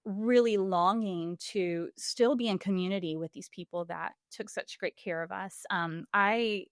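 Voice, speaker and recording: A woman's voice: mid-range voice, a little slow, no room sound, studio quality. A woman in her forties from Puerto Rico, a singer and voice coach, speaking candidly and reflectively in a podcast interview, with frequent fillers and laughs. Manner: earnest, reflective, laughs often, many fillers.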